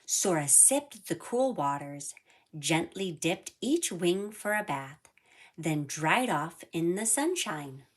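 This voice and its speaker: Female children's storybook narrator, slightly low register, highly animated delivery, unhurried pace. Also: breathy edge. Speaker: storybook reader. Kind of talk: expressive children's read-aloud narration.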